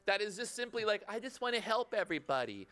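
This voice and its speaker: Fast, profane man ranting. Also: high voice, big pitch swings, bright, forceful tone, clear, resonant tone, dry recording. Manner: blunt, profane, fast-talking. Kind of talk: heated casual chat.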